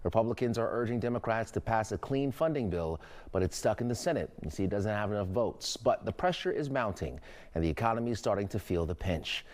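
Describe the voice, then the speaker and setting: Low-pitched American male news anchor. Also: expressive, brisk, a little mellow. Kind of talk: scripted news narration.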